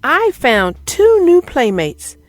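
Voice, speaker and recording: A woman narrating a children's picture book as an expressive, theatrical read-aloud. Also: high-pitched, takes it slowly, dry recording, soft background music.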